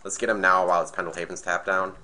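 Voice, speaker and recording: A man with a slightly raspy, nasal voice from being sick, casually commentating a card game match and thinking aloud as he plays. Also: sits low, level tone, fast, bright, forceful tone. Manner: thinking aloud, hesitant restarts. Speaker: gameplay commentator.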